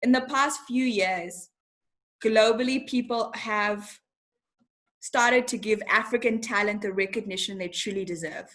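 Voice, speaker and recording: A woman's voice: medium pitch, measured pace, a bit bright. A young woman from Zimbabwe, a TV host talking as an interview guest, speaking frankly and animatedly with casual slang.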